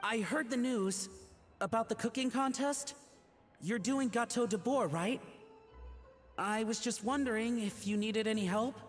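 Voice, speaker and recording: A woman's voice: on the high side, a little slow, in a large hall, faint music behind, lightly acted. A woman voicing a modest, polite young aspiring cook in a video game. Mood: shy eagerness.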